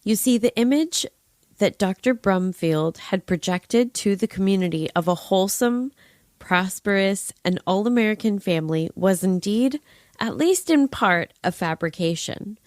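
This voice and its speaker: A woman podcast host with a very lively, varied pitch and a close, dry sound. She reads scripted narration in a wry, slightly ornate way, then slips into casual, playful asides. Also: measured pace, no echo. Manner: wry, playful narration with old-fashioned flourishes. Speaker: true-crime podcast host.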